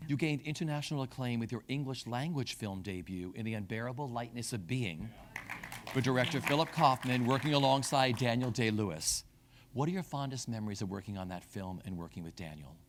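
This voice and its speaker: A man moderating an interview, putting prepared questions in measured, formal phrasing. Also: medium pitch, background noise.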